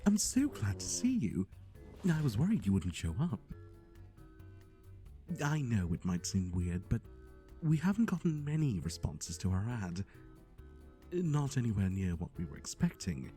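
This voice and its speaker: A man's voice: fairly low pitch, warm tone, heavily acted. A man playing a chatty, nervously cheerful, shady matchmaker in an ASMR roleplay, with a theatrical, sardonic delivery and hesitant asides. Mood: eager, welcoming.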